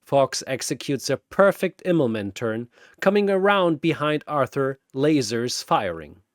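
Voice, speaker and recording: Male narrator for scripted action story: theatrical, unhurried, highly expressive intonation, close dry sound, punchy phrasing. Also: no echo. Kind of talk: dramatic scripted battle narration.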